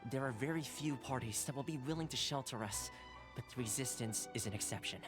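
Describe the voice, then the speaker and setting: A young man of mid-range pitch, played by an actor, a loyal retainer in an anime game. He speaks with measured, articulate courtesy and calm reassurance, with clear game music behind him. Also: lightly acted. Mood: calm, informative. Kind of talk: anime video game voice acting.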